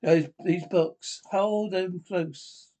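A man reading a radio drama script aloud, halting and stumbling over words, repeating some and reading out the speaker names. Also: sits high, fairly even intonation, mellow. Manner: reads speaker names aloud along with the lines. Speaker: amateur script reader.

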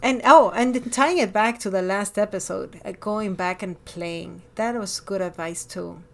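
A woman with a Latin American Spanish accent talking casually and expressively as a podcast host, with animated emphasis. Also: medium pitch, measured pace, creaky. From born in Miami, lived over a decade in Ecuador. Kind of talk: casual personal conversation.

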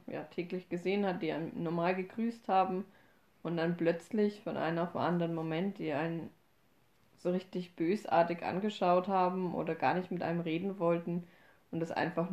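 Female podcast co-host, mid-twenties, from Upper Franconia, gravelly fry, casual delivery, roomy echo. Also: on the low side, steady tone, normal speed, darkish tone. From Upper Franconia, Germany. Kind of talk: casual conversational podcast talk. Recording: roomy, echoey sound.

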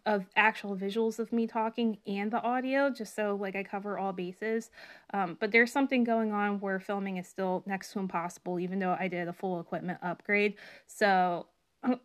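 A young woman hosting her own podcast in a chatty, rambling monologue full of fillers, her voice slightly raspy as she gets over a cold. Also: mid-range voice. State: recovering from a cold, slight cough. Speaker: podcast host. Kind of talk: casual solo podcast monologue.

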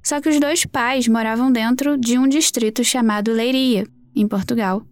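A woman's voice: on the high side, fairly even intonation, normal speed, dry recording. A Brazilian woman hosting a true crime podcast, telling the story in a conversational way.

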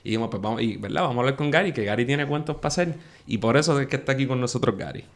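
A man's voice: medium pitch, lively intonation, very fast. A Puerto Rican man hosts the show in a mid-range voice. His talk is loose and chatty, full of jokes, with the easy tone of a friend bringing a guest on. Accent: Puerto Rican Spanish. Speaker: host. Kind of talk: casual talk-show introduction.

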